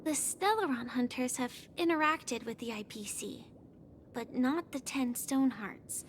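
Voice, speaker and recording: A high-voiced, guarded young warrior woman. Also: a little slow, a little hiss or hum. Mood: calm, questioning. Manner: calm, soft-spoken, serious, careful. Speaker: voice actor in a video game. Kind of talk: scripted game dialogue.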